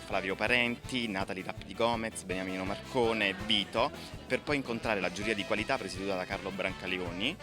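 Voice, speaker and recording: A man giving an interview answer as a festival official, listing items with halting pauses. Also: lowish voice. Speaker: festival deputy director, interviewee. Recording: music playing behind the voice. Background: music.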